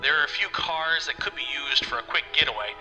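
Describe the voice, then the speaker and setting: A man playing a jumpy, chatty bird sidekick in an audio drama, excitable and prone to stammering. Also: a little high, fast, in a large hall, faint music behind, poor audio. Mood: uneasy.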